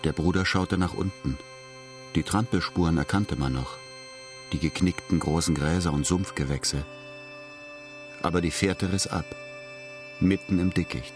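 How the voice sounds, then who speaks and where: A man's voice: deep voice, measured pace, long pauses. A man narrating a radio drama in a scripted, literary storytelling style. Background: music.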